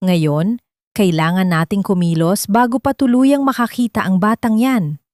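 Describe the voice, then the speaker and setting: A woman's voice: medium pitch, normal speed, darkish tone, no room sound, studio quality, lightly acted. A woman playing a cold, scheming male villain. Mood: cold threatening.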